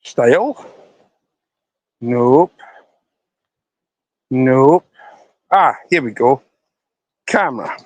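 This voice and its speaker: A man's voice: mid-range voice, expressive, extremely slow, long pauses, no echo. A Scottish man chatting to viewers on a casual live stream outdoors by a river, rambling as he talks into his phone. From Scotland. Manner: rambling, chatty, talking to viewers while walking.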